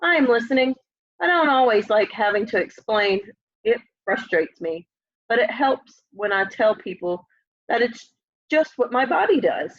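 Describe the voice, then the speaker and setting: A young woman reads a picture book aloud to children in a fairly high, slightly husky voice. She is expressive and talks straight to her young listeners. The sound is dull and lo-fi, like a home webcam. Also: moderate pace. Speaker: storybook reader. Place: room at home, indoors.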